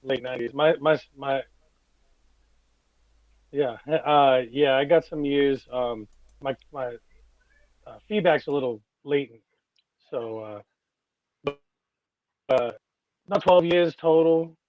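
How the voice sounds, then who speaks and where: A man talking casually as a guest producer, in a hesitant, halting way. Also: mid-range voice, no room sound, lo-fi sound. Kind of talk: casual chat.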